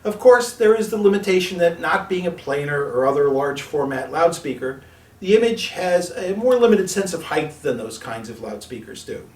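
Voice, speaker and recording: Guy reading a scripted review, kinda echoey and off the mic, measured and articulate. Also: a little high, animated. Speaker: product reviewer and presenter. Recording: echoey room, distant mic, music behind.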